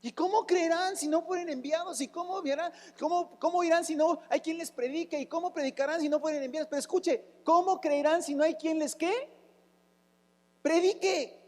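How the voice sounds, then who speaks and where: A man's voice: very high voice, moderate pace, a little mellow, in a large hall. A man, preaching a fervent sermon as a pastor, asking rhetorical questions and breaking into sudden exclamations. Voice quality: raspy, rough voice.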